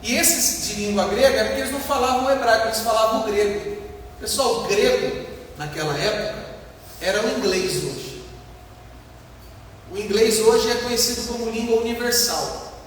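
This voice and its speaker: A Brazilian man preaching as a pastor, explaining a Bible passage in a didactic, emphatic way with rhetorical questions and repeated points. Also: sits high. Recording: echoey hall, distant mic, faint noise.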